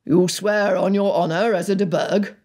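A woman plays a middle-aged gentleman father in an audiobook. He is stern yet drily teasing, drawling in measured phrases. The voice has a husky edge. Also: on the low side, expressive. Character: stern, wry upper-class father, middle-aged man. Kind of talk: dramatised audiobook dialogue.

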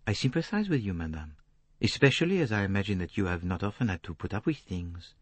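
A man voicing a calm, shrewd, courteous foreign detective, speaking formally and deliberately in an audiobook. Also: mid-range voice, breathy edge, no room sound, pitched above the performer's own voice. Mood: placid, dry. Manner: placid, detached, formal, deliberate phrasing.

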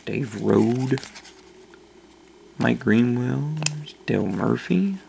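Host, male, unhurried low-key delivery, very gravelly, faint room hiss. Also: mellow, low-quality recording. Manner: slow, low-key listing of names. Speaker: channel host opening card packs. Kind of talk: casual card-opening commentary. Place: indoors at a table.

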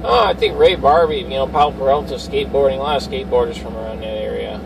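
A man's voice: mid-range voice, fast talker, gravelly, distant mic. Middle-aged male CB operator, slangy repeated call signs, off-mic inside a very noisy vehicle. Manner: repetitive, slangy on-air patter. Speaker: CB radio operator. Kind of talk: casual CB radio chatter with call signs. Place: inside a parked or running Suburban. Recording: loud vehicle noise, off-mic.

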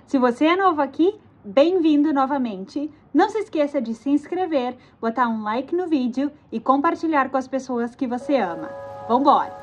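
Brief: woman travel vlogger, chatty and self-correcting, slightly high voice, faint background hiss. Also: expressive, normal speed. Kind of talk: casual travel vlog. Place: tourist aquarium and museum. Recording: slightly dull lo-fi audio with faint background noise.

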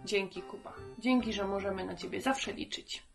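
Woman hosting a children's lesson, soft music. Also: medium pitch, moderate pace, vocal fry. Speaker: children's show host with a puppet. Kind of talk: conversational presenting to children. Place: book-lined room indoors.

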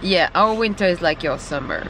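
A woman's voice: fairly low pitch, animated, normal speed, recorded from a distance, low-quality recording. A young woman chatting casually to her camera while she travels, mixing English and French and laughing often. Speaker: vlogger. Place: inside a moving car. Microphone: handheld camera mic. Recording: loud road noise in a car. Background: loud car and road noise.

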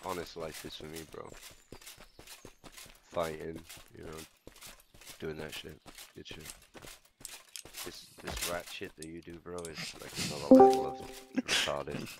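A man's voice: extremely low pitch, level tone, a little slow, gravelly, long pauses, background noise. A man in a heated argument on game voice chat, swearing and hurling insults. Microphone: gaming voice chat microphone.

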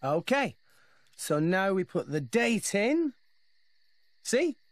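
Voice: medium pitch, very animated, slow pace, no room sound. An actor playing an upbeat teenage boy adventurer in a video game, friendly, eager and plain-spoken. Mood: cheerful explaining. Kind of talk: animated video game acting.

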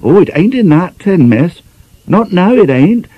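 A man's voice: high voice, sing-song, unhurried, narrow, tinny audio, pitched above the performer's own voice, full character voice. A man voicing a simple young housemaid in an audiobook, artless and uneducated in her speech. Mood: defensive.

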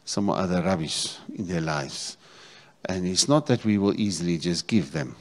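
Male South African preacher, fifties, lowish voice, repetitive emphatic phrasing, sermon delivery on a handheld mic. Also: normal speed. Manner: repeats phrases, checks in with congregation. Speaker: pastor preaching. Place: church stage, indoors. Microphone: handheld microphone.

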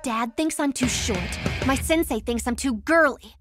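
A young woman playing a wry, determined aspiring crime fighter in an animated comic. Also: high voice, measured pace, over music. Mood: wry, resolute. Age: young adult. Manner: wry, self-assured. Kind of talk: animated motion comic narration and dialogue.

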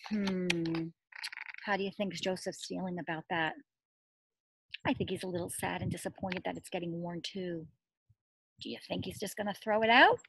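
A middle-aged woman reading a picture book aloud to young children as their teacher, expressive, asking questions and pausing for answers. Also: medium pitch, poor audio. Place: outdoors among trees and shrubs.

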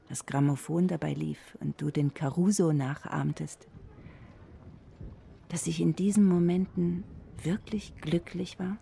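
Burdened middle-aged cook in radio drama: hushed, mellow, creaky, introspective. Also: female voice, deep voice, heavily acted. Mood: wistful, tender. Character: reflective, burdened middle-aged woman cook writing a letter. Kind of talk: intimate letter monologue in a German radio play.

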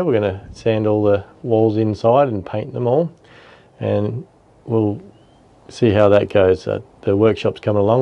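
A man in his thirties, a vlog host, giving a relaxed, plain-spoken update to a camera outdoors at a marina. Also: on the low side, muffled recording. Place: outdoors under a boat shelter by a marina.

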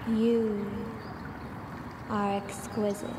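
A woman guiding a sleep meditation with affirmations, speaking in a soothing, measured way with long pauses, in a noisy, reverberant recording. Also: medium pitch, level tone. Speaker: meditation guide.

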